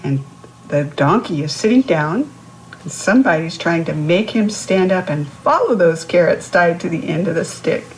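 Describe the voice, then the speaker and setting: A woman's voice: on the low side, lively intonation, moderate pace, slight background noise, low-quality recording. A woman reading a picture book aloud to young children, instructive, posing questions to the listener and explaining words. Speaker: children's read-aloud host.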